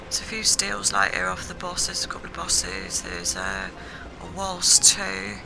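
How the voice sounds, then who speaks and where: A heavily creaky voice talks through a video game as a guide. The delivery is casual, hesitant and matter-of-fact. Game music plays clearly behind the narration. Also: medium pitch, big pitch swings, unhurried.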